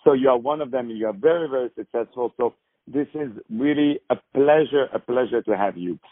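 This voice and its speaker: A man's voice: mid-range voice, fairly quick, no room sound. A man hosting a phone interview, effusive and lavish with praise. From France. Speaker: host. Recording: tinny phone line, dry.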